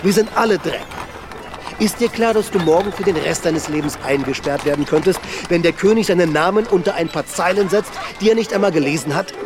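An actor in a radio play voicing a boisterous, cynical travelling player with a theatrical, mocking manner. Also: male voice, high-pitched, fairly quick, noisy background, natural voice. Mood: ranting, mocking. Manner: theatrical, mocking, swinging from hushed to ranting. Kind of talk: radio drama acting.

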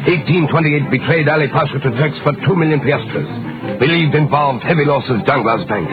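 A man's voice: a little high, fairly quick, lightly acted. An actor playing an efficient male informant, impassive, clipped and formal, in a 1930s radio drama. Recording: dull vintage radio recording, music behind.

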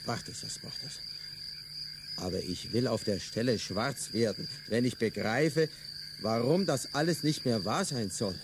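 Radio play: actor as a resentful French agent, mid-range voice, grumbling, chirping insects audible. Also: male voice, mid-range voice, measured pace, background noise, natural voice. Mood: bitter. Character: bitter, weary male French colonial agent.

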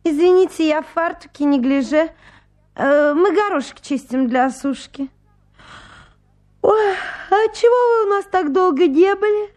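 An actress playing a young landowner's daughter, bustling, hospitable and chattering, in a comic radio play. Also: female voice, very high voice, expressive, a little slow, a little mellow. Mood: chatty, welcoming. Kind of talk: stage comedy acting in a radio play.

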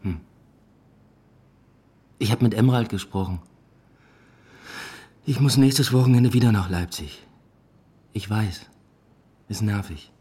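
A man's voice: on the low side, slow, pauses often, warm tone, husky. An actor playing a weary, introspective male academic, narrating a radio drama in a reflective, understated way. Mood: subdued, weary. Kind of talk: radio drama first-person narration and dialogue.

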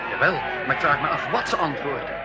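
An actor playing a curious, cheeky, wary young nephew in a school radio play. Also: male voice, a little high, expressive, fast, lightly acted. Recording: 1980s radio play with loud noise.

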